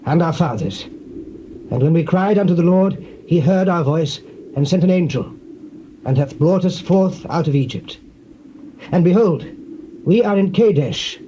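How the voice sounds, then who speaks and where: An actor playing an earnest, courteous Israelite messenger making a formal plea in a dramatized scripture reading. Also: male voice, sits high, warm tone. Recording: dull, faintly noisy old tape.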